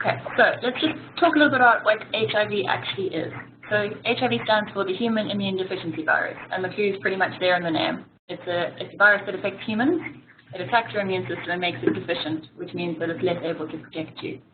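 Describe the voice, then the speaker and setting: A woman with a gentle South African accent, a physiotherapist giving a friendly, explanatory webinar presentation with frequent ums. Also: mid-range voice, quick pace. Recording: tinny phone-line audio with clear hiss.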